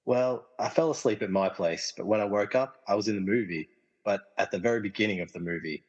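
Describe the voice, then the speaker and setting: A man playing an easygoing, casually explaining film lover trapped in a movie, in a comedy radio play, with a husky voice and long reverb. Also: lowish voice, a little fast, lo-fi sound. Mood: casual, explanatory. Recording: long reverberant hall echo.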